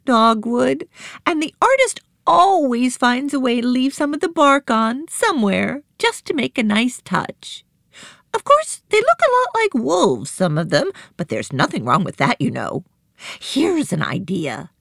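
A woman's voice: fairly high pitch, sing-song, measured pace, creaky, a bit bright, no room sound, studio quality, voice pushed up, full character voice. A woman voicing a chatty, easily flustered woman shopkeeper in a storybook, with a rambling, emphatic manner. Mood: chirpy, chatty.

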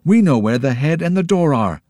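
A man's voice: lowish voice, very animated, unhurried, a little mellow, no echo, studio quality. A man voicing a hungry, eager young boy who speaks in a raised, keen voice.

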